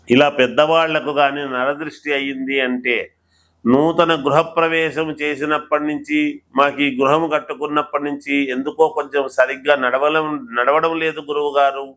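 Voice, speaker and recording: A man speaking as an astrologer, explaining remedies to viewers in a deliberate, instructional way and repeating phrases. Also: fairly high pitch, a bit bright. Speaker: astrologer presenter.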